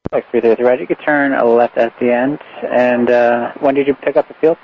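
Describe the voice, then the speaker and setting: A man's voice: mid-range voice, fairly even intonation, a little fast. A man with an American accent working as a tower air traffic controller, giving clipped procedural calls over radio. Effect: aviation radio transmission. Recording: muffled, faintly noisy aviation radio. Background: faint radio noise.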